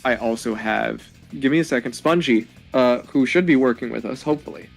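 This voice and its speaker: A twenty-one-year-old man with a gravelly, fry-edged voice talks through his game plan. He sounds worn out and hesitant, stopping and restarting as he hunts for words. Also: medium pitch, a little fast, soft background music. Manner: tired, hesitant, stumbling over words. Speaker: player in a online game contest. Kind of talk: casual confessional about game strategy.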